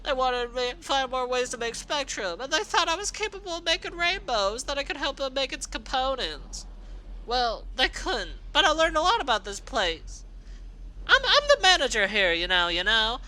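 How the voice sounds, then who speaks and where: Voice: mid-range voice, sing-song, normal speed, pushed and bright, a little hiss or hum, pitched above the performer's own voice, rough recording. A storyteller playing a cruel, furious grown pegasus mare who laughs maniacally and screams with rage. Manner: manic laughter and enraged screaming. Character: villainous adult female pegasus, cruel and furious.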